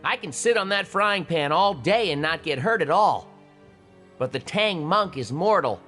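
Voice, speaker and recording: A clever, bold monkey king who takes charge with confidence, voiced by a woman reading aloud. His voice is bright and lively, with plenty of rise and fall. Light music plays behind. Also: lowish voice, moderate pace, voice pushed down. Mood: confident. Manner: confident and reassuring. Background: soft music.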